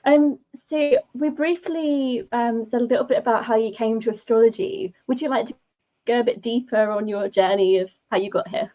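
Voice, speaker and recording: Very mellow woman hosting a casual chat. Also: a little high, moderate pace, poor audio. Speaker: host. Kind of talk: casual live interview chat.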